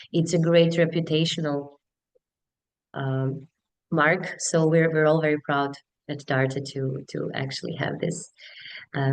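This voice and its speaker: A woman in her thirties with a Bosnian accent, giving earnest, wordy conversational answers as a webinar guest. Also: sits low. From Bosnia and Herzegovina. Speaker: HR business partner, webinar guest.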